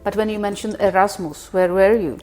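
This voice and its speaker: A woman's voice: medium pitch, fairly even intonation, a little fast, darkish tone, faint music behind. A woman hosting a podcast, asking questions in a chatty, encouraging conversational interview style. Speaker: podcast host.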